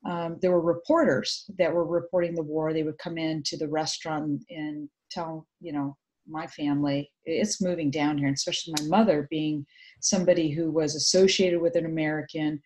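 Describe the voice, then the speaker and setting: A middle-aged woman from Vietnam, Vietnamese her first language, telling her story thoughtfully in a video call interview with many pauses. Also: low-pitched, steady tone, a little mellow, low-quality recording. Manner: reflective, hesitant with many fillers. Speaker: guest. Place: home room indoors. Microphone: video call webcam.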